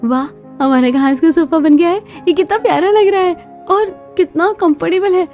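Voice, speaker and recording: A woman voicing an eager, excitable young sister-in-law in a cartoon story, exclaiming quickly and playfully. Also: very high voice, faint music behind, voice pushed up. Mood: delighted. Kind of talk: Hindi cartoon story acting.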